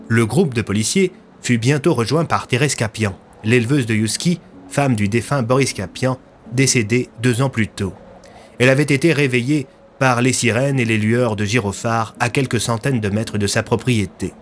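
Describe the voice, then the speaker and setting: A man's voice: medium pitch, normal speed, slight background noise. A man narrating a scripted audio drama as a storyteller.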